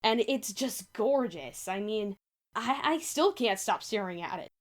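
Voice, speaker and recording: A woman's voice: mid-range voice, lively intonation, measured pace. A woman speaking as narrator and host of a fan fiction reading. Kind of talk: story narration and casual outro chat.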